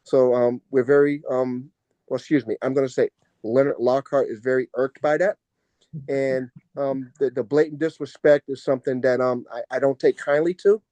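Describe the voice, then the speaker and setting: Measured American man with a mellow voice. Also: medium pitch, steady tone, no echo. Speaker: school board member speaking in a meeting. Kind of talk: meeting remarks.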